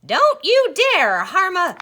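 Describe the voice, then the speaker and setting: A woman's voice: extremely high pitch, huge pitch swings, slow pace, pushed and bright, pitched above the performer's own voice, exaggerated voice. A woman voicing a fierce, protective old grandmother from a storybook, with a scolding manner. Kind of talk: storybook character voice.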